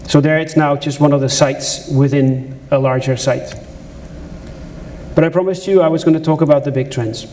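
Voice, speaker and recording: A man's voice: a little high, fairly even intonation, normal speed, warm tone, a little hiss or hum. A man with a Dutch accent giving a conversational conference presentation, with asides to the audience and frequent restarts. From Netherlands. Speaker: conference speaker. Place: lecture room at a conference.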